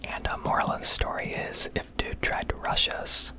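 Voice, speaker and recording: A woman speaking in a breathy, unvoiced whisper, rambling conversationally in the style of an ASMR gameplay video. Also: normal speed. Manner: rambling, conversational, swears casually. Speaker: video host.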